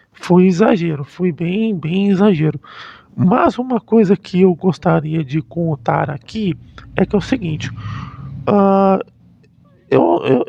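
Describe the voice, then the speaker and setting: High-voiced Brazilian man, halting delivery. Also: gravelly, mellow. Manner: halting, frequently rephrasing mid-sentence. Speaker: history YouTuber. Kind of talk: casual unscripted commentary. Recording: dull, lo-fi, roomy sound.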